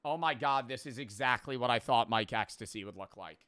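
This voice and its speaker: Male reactor, swears and riffs jokes, bright and crisp, dry sound. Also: medium pitch, brisk. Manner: excitable, swearing, riffing jokes. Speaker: streamer reacting to a video. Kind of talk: casual reaction commentary.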